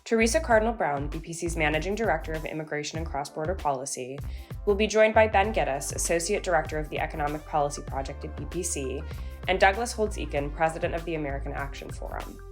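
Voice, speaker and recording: Woman, podcast host, scripted intro, quick pace, faint music behind. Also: deep voice. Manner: reads formally from script.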